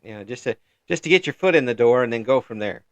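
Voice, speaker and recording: A man's voice: medium pitch, lively intonation, fast, no echo. Older man from Illinois, friendly interviewer, dry close sound, mid-range voice. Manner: friendly, chuckling, folksy asides. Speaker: interview host. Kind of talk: casual interview chat.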